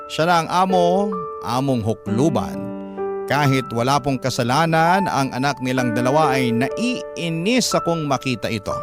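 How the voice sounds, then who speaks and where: A man with a Filipino accent reading a listener's letter in an emotive, theatrical way as a radio drama host. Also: mid-range voice. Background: loud background music.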